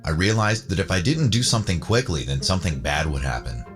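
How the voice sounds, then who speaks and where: A man's voice: sits low, animated, quick pace. A man narrating a scripted first-person story. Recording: music bed under the voice. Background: background music.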